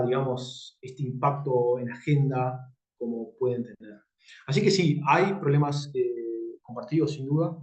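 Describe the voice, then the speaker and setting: Man, mellow voice, chatty panel answer, roomy lo-fi call audio. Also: mid-range voice. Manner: conversational, repeating a tag question. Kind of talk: casual conference answer. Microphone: video call. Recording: lo-fi echoey video call audio.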